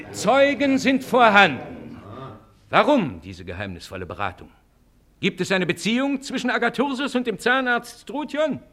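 An actor playing a fiery advocate in a radio play, declaiming bombastic accusations in with rhetorical questions. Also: male voice, fairly high pitch, pushed and bright, exaggerated voice. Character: fiery male advocate accusing priests of corruption. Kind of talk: theatrical courtroom oratory in a German radio play.

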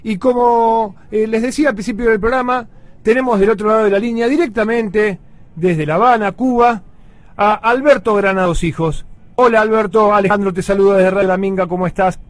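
An Argentine man hosting a radio interview, chatty and lively, with plenty of fillers. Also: sits high, fairly quick, no room sound. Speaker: radio host.

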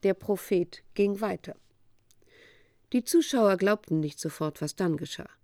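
A woman narrating a literary radio drama in a measured, sober, matter-of-fact storytelling manner. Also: medium pitch, a little mellow, dry recording, clean studio sound.

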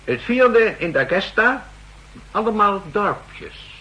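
An actor playing a self-assured official who presents evidence in deliberate, declamatory Netherlands Dutch in a 1960s radio drama. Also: male voice, sits high, big pitch swings, bright, forceful tone, exaggerated voice, rough recording. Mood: emphatic, triumphant.

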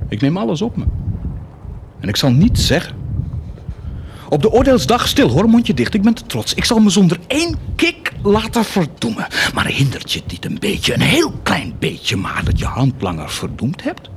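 An actor playing a proud, cruel, blasphemous warlord in a theatrical radio drama, declaiming and mocking. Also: male voice, high voice, huge pitch swings, normal speed, vocal fry, noisy background, full character voice. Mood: defiant. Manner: declamatory, mocking, swinging from hushed to shouted.

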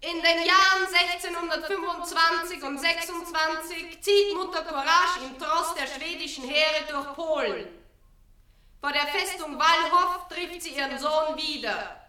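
An echoey, slightly distant recording of a female chronicler announcing scenes. Her voice is extremely high and piercingly bright, declaiming in scripted theatrical style. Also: unhurried, exaggerated voice. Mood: declamatory. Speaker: narrator.

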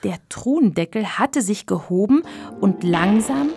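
A woman narrating a scripted children's story in a measured, expressive way, with a creaky voice. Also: mid-range voice, faint music behind.

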